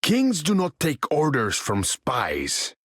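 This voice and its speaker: A man's voice: mid-range voice, huge pitch swings, slow, fairly bright tone, slightly rough, no room sound, clean studio sound, full character voice. Proud, brooding sea king. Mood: proud, disdainful. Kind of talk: video game voice acting.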